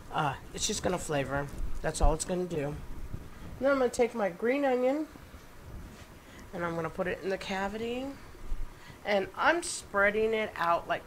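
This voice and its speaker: A middle-aged woman with a stuffed-up, nasal voice, chatting excitedly through a cooking demonstration in her home kitchen. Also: medium pitch, slight background noise. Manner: chatty and excitable, with many ums and asides.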